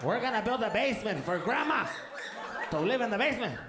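An older Mexican immigrant dad as acted out by a male comic in live stand-up. He is high-pitched, urgent and anxious, sprinkling in Spanish as he braces for doomsday. A loud crowd surrounds the echoey sound. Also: expressive, quick pace, background noise. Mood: urgent alarm. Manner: urgent, alarmed, mixing in Spanish words.

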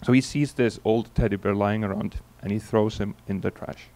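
A man in his forties from Iceland with an Icelandic accent, giving a relaxed conference keynote into a handheld mic, telling stories with many fillers and restarts. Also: fairly low pitch, normal speed. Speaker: keynote speaker. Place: large conference hall on stage. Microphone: handheld microphone.

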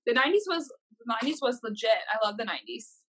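Co-host, American woman of thirty: sharp bright tone, fairly high, casual and unpolished. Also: sing-song, fast talker, slightly rough, poor audio. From United States. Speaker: podcast co-host, professional wrestler. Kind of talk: casual chat. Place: plain indoor room.

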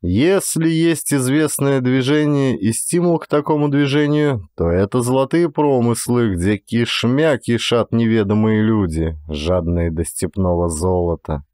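A man reading a literary story as an audiobook, with an actor's expressive, measured storytelling delivery. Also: mid-range voice, dry recording, clean studio sound. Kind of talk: dramatic literary audiobook narration.

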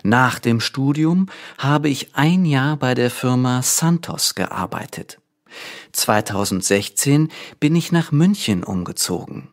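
A man reads a first-person text for language learners at an unhurried pace. His delivery is measured and deliberate, with each sentence spoken clearly. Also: medium pitch. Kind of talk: slow scripted reading for learners.

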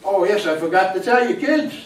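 A man's voice: high-pitched, expressive, brisk. An elderly actor playing a teasing, wisecracking grandfather in a live comic stage play. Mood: gleeful storytelling. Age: 70s. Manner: wisecracking storyteller. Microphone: distant camera. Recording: echoey small theater, distant camera, hiss.

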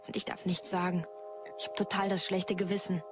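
A woman's voice: on the low side, little pitch movement, brisk, natural voice. A woman playing a blunt, colloquial character in a sci-fi audio drama. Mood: troubled, sceptical. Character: blunt, irritated woman with a guilty conscience. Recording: loud music behind the voice.

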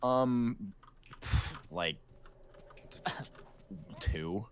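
A man's voice: lowish voice, expressive, extremely slow, slight background noise. A man improvising game roleplay dialogue in a hesitant, stammering way.

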